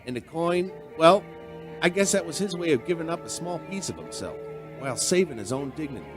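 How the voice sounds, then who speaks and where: A man's voice: fairly high pitch, animated, fairly quick, over music, natural voice. An actor playing an earnest, sentimental male bartender who tells a strange tale in a dramatic radio play style. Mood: reflective. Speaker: bartender and storyteller. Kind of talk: radio drama acting and narration.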